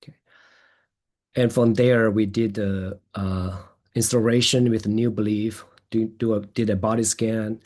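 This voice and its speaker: A man speaking as a webinar presenter and therapist, explaining his practice in hesitant, halting phrases. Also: lowish voice, level tone, darkish tone. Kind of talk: presentation and question answering.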